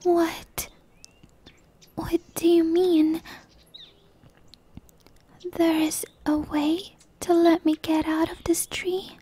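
A woman voicing a shy, innocent young tree dryad in a hesitant, breathy ASMR roleplay whisper. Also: extremely high pitch, little pitch movement, dark tone, exaggerated voice. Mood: timid, puzzled. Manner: hesitant, halting, pleading.

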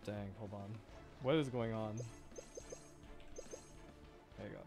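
A man's voice: lowish voice, takes it slowly, music in the background. A man streaming a video game, reacting casually and thinking aloud in short exclamations. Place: livestream voice-over with game music and sounds behind.

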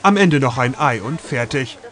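A man narrating a travel food documentary in a measured, scripted voice-over. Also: mid-range voice, lively intonation, a bit bright.

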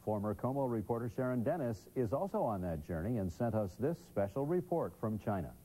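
Polished middle-aged studio anchor, mellow tone. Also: male voice, medium pitch, sing-song, a little fast, slight background noise, low-quality recording. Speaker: news anchor. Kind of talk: news anchor reading.

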